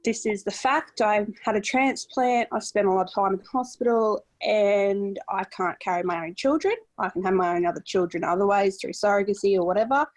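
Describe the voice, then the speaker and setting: A woman in her late twenties talks candidly in a mid-range voice, rambling a little and filling pauses as she thinks. She sounds open and honest. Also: mid-range voice, a little mellow. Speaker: podcast co-host. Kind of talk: casual video-call chat.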